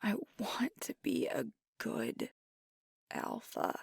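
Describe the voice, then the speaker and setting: A woman playing a young, earnest, self-doubting alpha werewolf pack leader in an intimate ASMR roleplay, speaking softly and hesitantly in a breathy half-whisper. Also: a little high, wildly swooping pitch, no echo, studio quality, exaggerated voice. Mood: worried, vulnerable. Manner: hesitant, confiding, slow and reflective. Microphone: close mic, dry.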